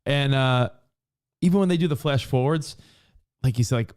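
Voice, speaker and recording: A man in his forties co-hosting a podcast in casual chat, animated and fond of acting out quoted voices. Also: medium pitch, normal speed, smooth. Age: 40s. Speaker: podcast co-host.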